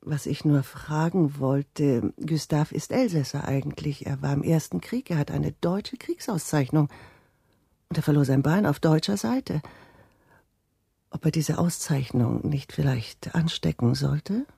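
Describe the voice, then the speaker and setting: An elderly wife in a radio drama, anxious and deferential. Her voice is dark and rough-edged, recorded very dry. She asks hesitantly but keeps pressing. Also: sits low, measured pace, dry recording, exaggerated voice. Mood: worried, insistent. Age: older. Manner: hesitant, insistent, deferential questioning. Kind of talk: radio play acting.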